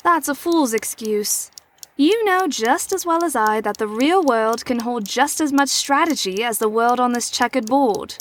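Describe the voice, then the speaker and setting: A woman playing a poised, probing middle-aged mentor in an audio drama, speaking in a formal, theatrical, measured way. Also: a little high, a little hiss or hum, close to an everyday voice. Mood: probing, lecturing. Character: poised, probing female mentor and chess player. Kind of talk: scripted audio drama acting.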